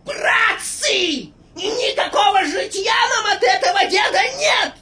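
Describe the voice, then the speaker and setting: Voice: extremely high pitch, expressive, slow pace, sharp tone, raised voice, far from the mic, heavily acted. An actor voicing a small, indignant cartoon mouse, shrilly haranguing like a rabble-rouser.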